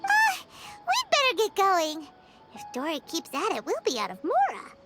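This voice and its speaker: Childlike fairy sidekick, extremely high squeaky voice, excitable, naive, game acting, music behind. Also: female voice, moderate pace, heavily acted. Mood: uneasy. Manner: squeaky, exclamatory, speaks of self in third person.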